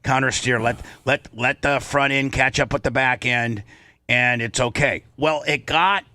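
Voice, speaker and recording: Male talk show host, bright punchy voice, close dry sound, casual animated storyteller who doubles back on phrases. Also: medium pitch, unhurried, dry recording.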